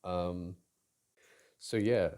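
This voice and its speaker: A young man talks in a very low, deep voice with a dark, mellow tone. He rambles through listener questions, stopping often, restarting and filling gaps with ums. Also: steady tone, drawn-out words. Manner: rambling, hesitant, many fillers and restarts. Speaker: audio roleplay creator answering questions. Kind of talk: casual Q&A chat.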